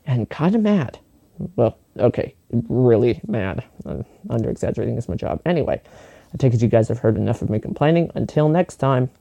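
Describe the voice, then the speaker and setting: A man's voice: medium pitch, big pitch swings, fairly quick, creaky, mellow. A man giving a humorous scripted tech report, sarcastic and exasperated in manner. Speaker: tech news contributor. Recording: music and sound effects underneath.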